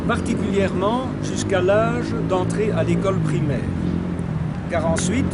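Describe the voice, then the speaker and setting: An older man with a Belgian French accent reads a memoir aloud in a rather high voice. He goes at an unhurried pace and trips over a word here and there. Loud street and car noise fills the recording. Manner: unhurried, slightly halting reading with small restarts. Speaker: reader of his wife's memoir. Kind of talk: reading a text aloud with spoken asides.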